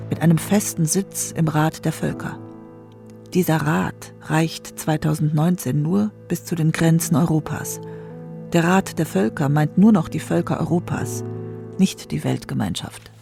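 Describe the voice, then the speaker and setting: A stern, disillusioned woman from the past, with a creaky, mellow voice. She declares in clipped phrases over faint music in a radio play. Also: low-pitched, measured pace. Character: stern ghost of 1948, disillusioned with today. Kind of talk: literary radio play monologue.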